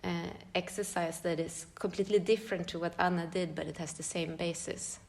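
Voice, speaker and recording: A woman with a Swedish accent, speaking a little low for her sex in a relaxed podcast chat. She thinks aloud, hunts for words and laughs often. She is recorded in a large room. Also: a little fast, vocal fry. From Sweden. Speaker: guest choreographer and dance teacher. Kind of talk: casual podcast conversation.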